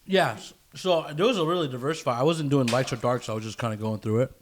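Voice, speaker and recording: A man's voice: medium pitch, fast talker, a little hiss or hum. A man chatting casually as a podcast host, rambling through stories with slang and swearing. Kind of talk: casual chat.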